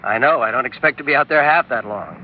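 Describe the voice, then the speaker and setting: An actor playing a young, dutiful male co-pilot in an old radio drama, steady and obedient. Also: mid-range voice, quick pace, lightly acted. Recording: tinny, narrow old radio sound with hiss.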